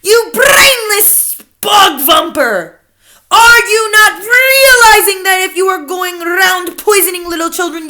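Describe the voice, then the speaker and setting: A woman's voice: high voice, sharp tone, pitched above the performer's own voice, exaggerated voice. A woman voicing a tiny, tyrannical female witch leader who shrieks orders in oddly broken grammar.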